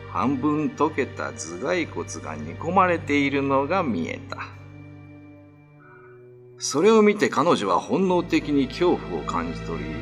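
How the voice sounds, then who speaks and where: A man narrates a horror radio drama in a low voice. He takes his time, with wide dramatic swings, over faint music. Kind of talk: dramatic scripted horror storytelling. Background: background music.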